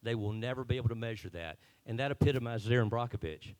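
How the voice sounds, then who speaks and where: A man's voice: medium pitch, quick pace, darkish tone. A man introducing a guest at a town hall, earnest and a bit unpolished on stage. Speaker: event organizer introducing the speaker. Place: meeting hall.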